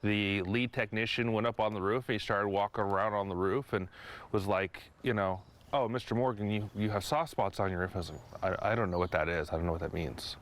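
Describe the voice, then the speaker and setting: A man's voice: on the low side, fairly even intonation, a little fast. A middle-aged man speaking casually as a homeowner being interviewed about his own experience.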